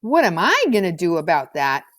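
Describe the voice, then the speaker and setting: A woman's voice: on the low side, big pitch swings, moderate pace, dry recording. Lady doing cocky schoolboy in a kids book, slangy, indignant, brash, dry sound. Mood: indignant, self-justifying. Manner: slangy, brash, self-justifying. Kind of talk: storybook character voice.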